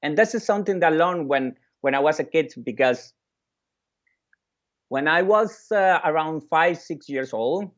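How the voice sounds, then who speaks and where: A man from Venezuela, a native Spanish speaker with a Venezuelan accent, giving a keynote speech with personal stories in a halting way, repeating phrases and pausing. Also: fairly high pitch, lively intonation, fairly bright tone, lo-fi sound. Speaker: keynote speaker, music educator and orchestra leader.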